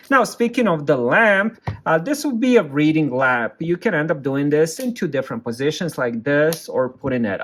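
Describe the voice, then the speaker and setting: A man's voice: on the high side, fairly bright tone. A man giving a casual hands-on product review, explaining things plainly step by step.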